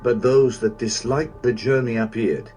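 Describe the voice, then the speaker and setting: A man playing a cold, bitter, grandiose warrior villain in a fan-dubbed video game, speaking in a measured, contemptuous way. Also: mid-range voice, a little mellow, faint music behind, natural voice. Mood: cold contempt.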